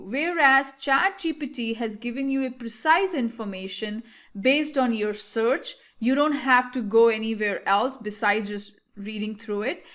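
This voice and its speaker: Woman, native Hindi speaker, slightly high voice, explanatory tutorial host with filler-heavy delivery. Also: fairly bright tone. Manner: explanatory, with frequent fillers and restarts. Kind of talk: screen-share software tutorial.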